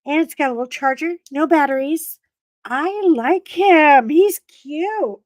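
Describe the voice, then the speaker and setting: Animated woman live-streaming, slow and chatty. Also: dry recording. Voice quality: animated storyteller, swooping pitch on greetings. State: froggy voice. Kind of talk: casual live chat with viewers.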